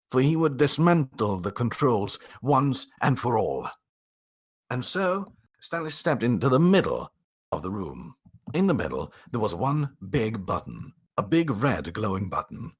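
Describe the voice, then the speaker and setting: A man reading a story aloud as a theatrical narrator, drawing out words for emphasis and pausing for drama. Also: mid-range voice, husky.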